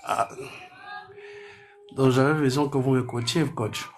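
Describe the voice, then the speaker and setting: A young man calling in, hesitant and flattering, repeating himself as he asks for advice. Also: mid-range voice, measured pace, slight background noise. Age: young adult. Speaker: caller.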